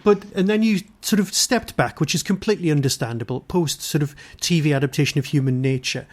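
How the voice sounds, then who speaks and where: A man's voice: fairly high pitch, brisk, a little mellow. A man hosting an interview, asking long, casual, conversational questions. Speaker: podcast host, interviewer.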